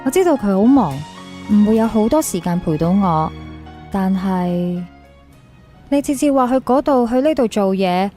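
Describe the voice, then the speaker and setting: A woman's voice: mid-range voice, lively intonation, moderate pace, warm tone, natural voice. A woman playing an insecure, lovelorn young woman in a radio drama, plaintive and questioning, with a Hong Kong Cantonese accent. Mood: wistful. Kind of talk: radio drama acting with confessional narration. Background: music under the voice.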